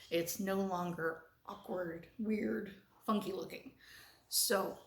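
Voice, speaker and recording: A woman's voice: mid-range voice, reverberant. A woman hosting a casual crochet tutorial, chatty and enthusiastic, a little sniffly and stuffy from allergies.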